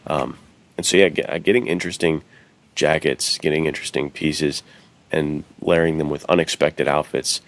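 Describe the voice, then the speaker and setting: Very deep, gravelly man chatting. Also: steady tone, normal speed. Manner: slangy, bro-like phrasing. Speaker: podcast co-host. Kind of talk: casual podcast chat.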